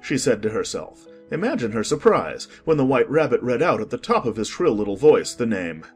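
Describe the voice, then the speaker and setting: A man narrating a storybook in a lively, expressive manner. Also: mid-range voice, brisk, faint music behind.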